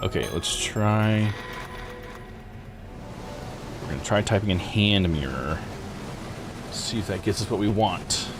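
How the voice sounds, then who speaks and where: A man's voice: fairly low pitch, moderate pace. A man live streaming a visual novel, rambling and thinking aloud in casual commentary. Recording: game music behind voice. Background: video game music.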